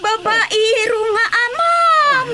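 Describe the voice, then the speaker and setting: A woman's voice: very high-pitched, animated, slow pace, exaggerated voice. An actress playing an aggrieved, scornful mother in a melodramatic, emotional radio drama style. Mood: wailing distress. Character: emotional, aggrieved mother, scornful of her philandering old flame. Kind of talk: Ilocano radio melodrama acting. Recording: very noisy background.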